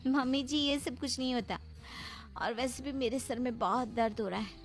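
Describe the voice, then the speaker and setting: A woman's voice: fairly high pitch, moderate pace, faint music behind, natural voice. Haughty young daughter-in-law played by a woman. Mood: dismissive. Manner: bossy, dismissive, mixes in English. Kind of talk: cartoon voice acting.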